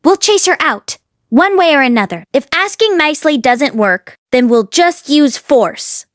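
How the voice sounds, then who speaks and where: A woman's voice: high-pitched, expressive, measured pace, no room sound, clean studio sound, voice pushed up. A woman reading an audiobook, voicing a domineering, scheming middle-aged wife with an insistent, calculating manner.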